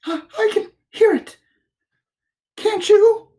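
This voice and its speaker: A woman's voice: very high voice, takes it slowly, darkish tone, pitched above the performer's own voice. A woman voicing a small, timid male piglet who is anxious, breathless and prone to stammering.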